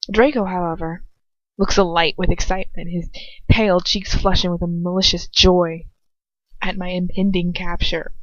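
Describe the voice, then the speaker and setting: A woman reading fan fiction aloud as an amateur narrator, informal and often breaking off into casual asides. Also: on the low side. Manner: informal, self-interrupting. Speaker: amateur audiobook reader. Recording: roomy, muffled lo-fi home recording.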